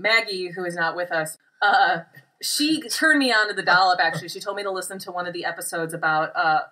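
A woman's voice: on the low side, pushed and bright. A woman co-hosting a casual podcast, talking in an informal, rambling way with joking asides. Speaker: podcast co-host. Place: small room. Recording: slightly distant microphone.

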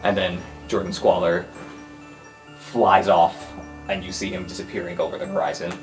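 A man's voice: sits low, flat delivery, normal speed, over music. A man acting as game master, narrating and explaining rules in an improvised tabletop roleplay.

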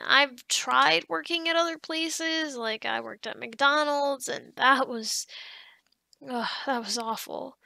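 A woman acting a kind, encouraging office boss in an ASMR roleplay, speaking softly and conversationally with hesitant pauses and sighs. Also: high voice, creaky, dry recording, heavily acted. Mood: venting.

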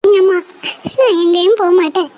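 A woman voicing an anxious, obedient young girl sparrow chick in a cartoon, talking loudly and fretfully. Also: very high voice, a little fast. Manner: loud, fretful. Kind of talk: cartoon voice acting.

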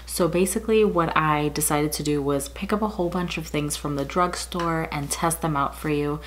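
A woman in her thirties doing a casual makeup tutorial, her voice raspy and a bit muffled from a cold, chatting through each product with frequent ums. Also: deep voice, normal speed, slight background noise. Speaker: beauty vlogger. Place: bedroom with window blinds, indoors.

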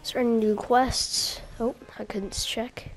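A young woman who is sick, coughing and sniffling, giving casual gameplay commentary with hesitant pauses and many fillers. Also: a little high, fairly even intonation, soft background music. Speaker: gaming YouTuber.

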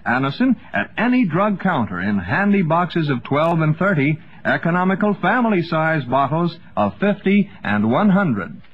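Animated 1950s male radio announcer, muffled sound. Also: on the high side, normal speed, few pauses. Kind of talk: scripted 1950s radio advertisement.

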